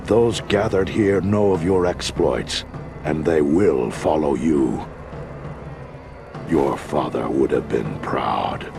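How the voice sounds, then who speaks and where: A man playing a proud, solemn, aging orc war chief with a gravelly voice in an animated game. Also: very deep voice, takes it slowly, exaggerated voice. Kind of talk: animated adventure game voice acting. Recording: music behind the voice.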